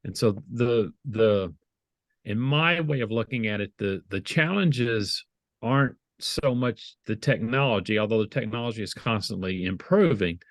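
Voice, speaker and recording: Southern guy on a video call, kinda low voice, dry sound, takes his time and restarts a lot. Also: lively intonation, no echo. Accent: Southern American. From American South. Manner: measured, restarts phrases often. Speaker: guest, IT leader.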